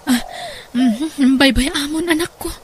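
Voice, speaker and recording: A woman with an Ilocano accent playing a gentle, long-suffering wife and mother in a radio drama, plaintive and soothing. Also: sits high, a little slow, a little hiss or hum. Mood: sad, soothing.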